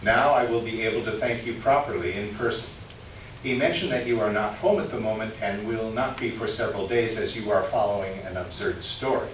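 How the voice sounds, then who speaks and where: A man's voice: on the low side, a little fast, lightly acted. A man playing a genial, courteous older store clerk reading out a grateful letter in a staged performance. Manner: genial, chuckling storyteller, courteous. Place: echoey indoor room, recorded from afar. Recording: very echoey room, distant muffled mic, faint noise.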